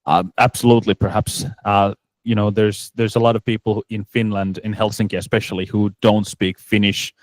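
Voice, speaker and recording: A man's voice: lowish voice, level tone, a little fast, no echo. A man presenting at a conference Q&A, answering questions with many restarts and fillers. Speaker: startup presenter.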